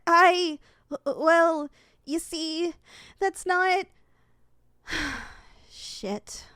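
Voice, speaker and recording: A woman's voice: extremely high pitch, very slow, pushed and bright, no echo, clean studio sound, heavily acted. A young woman playing a possessive, easily flustered succubus student in an ASMR audio roleplay, blunt and indignant in manner.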